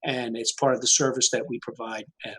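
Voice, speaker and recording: A man's voice: medium pitch, little pitch movement, fairly quick, a bit bright, slightly rough, rough recording. Plain-spoken man, service manager. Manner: plain, with many "uh" fillers. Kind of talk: webinar presentation.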